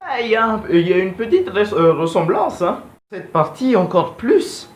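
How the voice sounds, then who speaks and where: A man's voice: high-pitched, sing-song, moderate pace, echoey room, faint music behind. A young man giving casual, slangy video commentary in an informal, digressive way. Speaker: video host.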